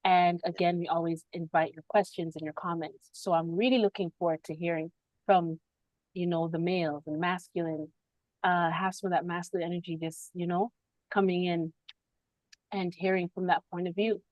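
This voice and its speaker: A woman's voice: lowish voice, little pitch movement, moderate pace, darkish tone, no room sound, poor audio. A woman hosting a spiritual podcast on a video call, speaking reflectively and laughing often between thoughts. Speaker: podcast host.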